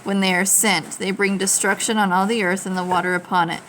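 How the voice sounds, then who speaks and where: A woman reading a scripture passage aloud in a soft voice during a casual family Bible study, away from the microphone. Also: mid-range voice, flat delivery, a little fast, a little hiss or hum, poor audio.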